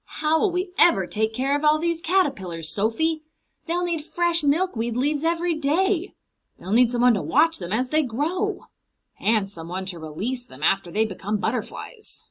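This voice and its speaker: A woman voicing a kindly, eager man who loves butterflies in a storybook, speaking with animated emphasis. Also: on the high side, moderate pace, voice pushed up. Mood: worried. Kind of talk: storybook character read aloud.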